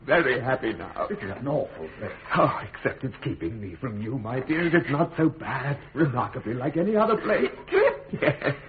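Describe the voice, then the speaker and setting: A man's voice: medium pitch, moderate pace, lightly acted. Male voice, actor playing loving imprisoned father, wide-ranging intonation, radio play, narrow noisy recording. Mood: tender, reassuring. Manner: expressive, reflective. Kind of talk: radio drama acting.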